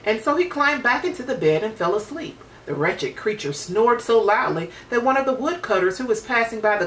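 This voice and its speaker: A woman's voice: a little high, a bit bright. A woman narrating a children's storybook expressively. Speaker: storybook reader. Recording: roomy, distant mic with faint noise.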